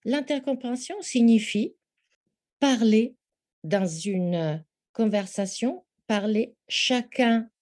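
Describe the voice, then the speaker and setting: Slow, mellow woman speaking French. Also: a little high. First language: Italian. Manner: measured and thoughtful, with frequent hesitations. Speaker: interview guest, language teaching specialist. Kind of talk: explanatory interview answer.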